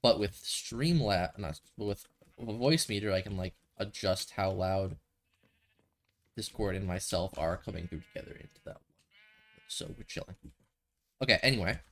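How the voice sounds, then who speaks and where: Young male streamer, slightly low voice, a bit stuffy, casual rambling commentary. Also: animated, moderate pace, long pauses. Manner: rambling, thinks aloud, self-correcting. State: a little stuffy from a cold. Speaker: gaming streamer.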